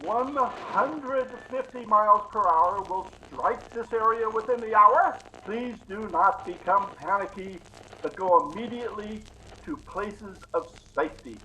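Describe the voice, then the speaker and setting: Radio announcer played by an actor. Sharp, urgent, emphatic and formal; slightly noisy recording. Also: mid-range voice, measured pace. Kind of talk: 1950s radio bulletin acting.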